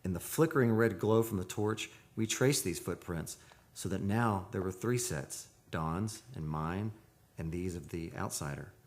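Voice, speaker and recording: A man playing a wistful, dreamy photographer narrating an eerie seaside tale in a measured, poetic radio drama style. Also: low-pitched. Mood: hushed, eerie. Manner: measured, poetic, solemn delivery. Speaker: actor, narrator.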